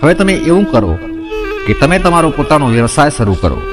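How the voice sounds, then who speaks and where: A man's voice: fairly high pitch, very animated, fairly quick, music in the background. A man voicing a clever, respectful young daughter-in-law in a story, giving confident instructions. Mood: reassuring, firm.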